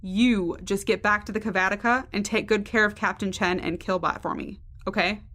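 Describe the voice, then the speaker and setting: Actress as bright-voiced bossy secretive woman. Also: mid-range voice, fairly even intonation, normal speed, close to an everyday voice. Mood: smug, sly. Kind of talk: audio drama dialogue.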